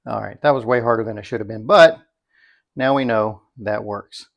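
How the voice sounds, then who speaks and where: Gravelly-voiced man, casual product reviewer. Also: on the low side, normal speed, lo-fi sound. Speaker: product reviewer, video host.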